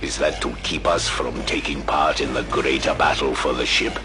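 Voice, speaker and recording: A man acting a hulking, menacing, sardonic superhuman berserker warrior in an audio drama, gravelly and taunting. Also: a bass voice, fairly even intonation, normal speed, over music. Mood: brooding threat.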